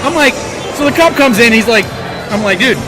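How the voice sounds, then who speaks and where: Loud crowd noise and music surround a man with a high-pitched voice. He tells a casual story in an informal, conversational style, acting out both sides of exchanges. It sounds recorded out in a busy public place. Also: expressive, brisk, low-quality recording. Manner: acts out dialogue, informal. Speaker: podcast co-host telling an anecdote. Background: loud crowd chatter and music.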